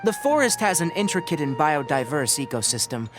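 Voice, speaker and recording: A man's voice: on the high side, big pitch swings, brisk. A man voicing a composed, scholarly young forest ranger in a video game, youthful, articulate and matter-of-fact. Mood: courteous, practical. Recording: music behind the voice.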